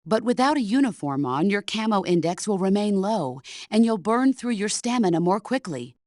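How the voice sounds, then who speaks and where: A woman's voice: mid-range voice, big pitch swings, normal speed, darkish tone, no echo, studio quality, close to an everyday voice. A middle-aged woman playing a stern, commanding veteran soldier who mentors her former protégé in a video game. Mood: exasperated, scolding. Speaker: military mentor character. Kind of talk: video game voice acting, radio briefing dialogue.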